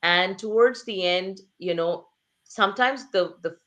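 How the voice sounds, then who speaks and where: A woman's voice: mid-range voice, a little slow, a bit bright, dull audio. A woman author speaking earnestly in an interview, stressing points with repetition and rhetorical questions. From Asia. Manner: repeats phrases for emphasis. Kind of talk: conversational interview.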